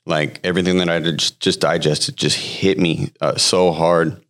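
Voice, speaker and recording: A man in his thirties, raised in Hawaii, telling his personal testimony as a podcast guest in a halting, casual way full of fillers and restarts. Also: low-pitched, flat delivery, fairly bright tone. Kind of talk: personal testimony, conversational storytelling.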